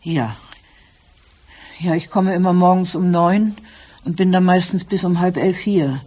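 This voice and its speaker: An actress playing a shaken, upset housekeeper who speaks haltingly in a German radio crime drama. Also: female voice, on the low side, steady tone, mellow. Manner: halting, upset, repeats herself. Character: distraught, shaken housekeeper who found her employer dead.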